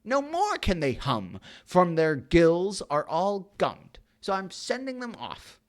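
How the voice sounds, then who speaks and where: Someone putting on a shrill cranky little creature. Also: sing-song, slow pace, a bit bright, dry recording, studio quality, full character voice. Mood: pleading. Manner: scolding, pleading, rhyming. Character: small cranky male forest creature.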